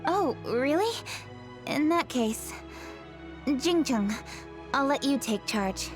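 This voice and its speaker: A woman's voice: sits high, animated, takes it slowly. A woman voicing a poised, diligent young noblewoman official in an anime game, formal, composed and earnest. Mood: polite. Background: music.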